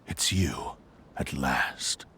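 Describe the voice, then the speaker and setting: A man's voice: a bass voice, drawn-out words, in a whisper, heavily acted. A man voicing a menacing swordsman adversary in a video game.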